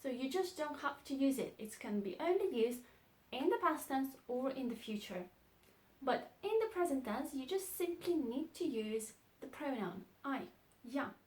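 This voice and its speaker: A woman teaching a beginner language lesson, repeating short phrases slowly and clearly. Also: high voice, lively intonation, darkish tone, roomy sound. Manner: slow, clear model phrases, repeated twice. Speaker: Russian teacher.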